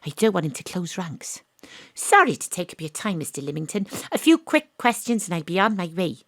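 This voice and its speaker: An actress playing a wry, world-weary private detective, deadpan and confiding, in noir audio drama style. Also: female voice, lowish voice, a little fast, no echo, clean studio sound, full character voice. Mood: guarded, dry. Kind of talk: noir detective narration and dialogue.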